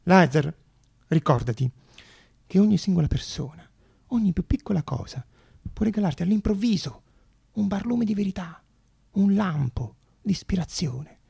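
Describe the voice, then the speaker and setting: A man playing a fervent, affectionate uncle who counsels his nephew in an exhorting theatrical monologue with emphatic pauses. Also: high-pitched, lively intonation, vocal fry, warm tone, slightly rough, no echo, studio quality, heavily acted. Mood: urging, affectionate.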